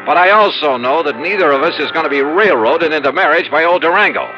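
An actor playing a stubborn American bachelor investigator, wry and emphatic, in a 1950s radio drama with narration. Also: male voice, on the high side, lively intonation, fast talker, music in the background, natural voice. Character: brisk, stubborn bachelor insurance investigator, adult man. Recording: muffled vintage radio recording.

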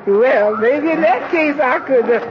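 A performer playing a folksy, canny housekeeper woman with a husky voice in a 1940s radio comedy. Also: high-pitched, animated, takes it slowly, background noise. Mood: canny, agreeable. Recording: muffled old radio recording.

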